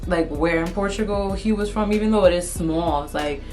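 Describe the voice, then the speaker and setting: A woman's voice: on the low side, brisk, darkish tone. A woman from Antigua and Montserrat co-hosting a casual conversation, earnest and repeating her point. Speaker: co-host. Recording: faint music underneath.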